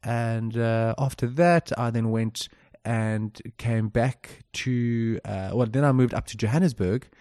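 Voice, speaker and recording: A man's voice: mid-range voice, steady tone, slow pace, warm tone, no echo, studio quality. A young adult man with a South African accent, co-hosting a podcast and telling his history with upbeat enthusiasm. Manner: upbeat, enthusiastic, with some restarts. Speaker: podcast co-host. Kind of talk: casual self-introduction.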